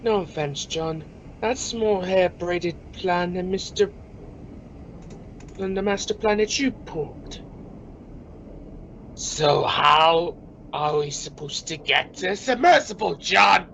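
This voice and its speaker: Man playing a sarcastic, bright-voiced astronaut. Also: sits high, slow, gravelly, long pauses, exaggerated voice. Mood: exasperated. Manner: sarcastic, exasperated, animated. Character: excitable, sarcastic astronaut crew member Lee. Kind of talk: amateur radio play acting. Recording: dull, noisy home-made radio play recording.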